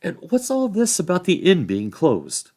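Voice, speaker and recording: A man's voice: sits high, huge pitch swings, moderate pace, darkish tone, pitched above the performer's own voice, exaggerated voice. A man reading a storybook, voicing a loyal, hot-tempered rustic young hobbit who scolds bluntly in folksy speech. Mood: scolding.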